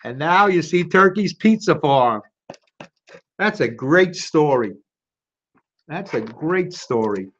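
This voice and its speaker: A man reads a picture book to children in an expressive, slightly high voice with very lively intonation. He talks straight to his listeners, and the recording sounds a bit dull. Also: slow pace, pauses often, slight background noise. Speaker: storytime reader. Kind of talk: animated children's storybook read-aloud with casual asides.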